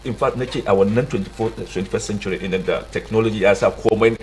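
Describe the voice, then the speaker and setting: Fast-talking Nigerian man with lowish voice. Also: steady tone, a little hiss or hum. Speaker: studio guest. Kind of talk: radio interview in Hausa and English. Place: radio studio.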